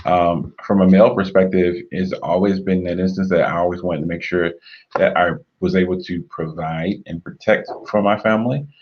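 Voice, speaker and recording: A man co-hosting a marriage talk show, speaking in a measured, reflective way and often murmuring agreement. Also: low voice, fairly even intonation, muffled recording. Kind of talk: earnest conversational discussion.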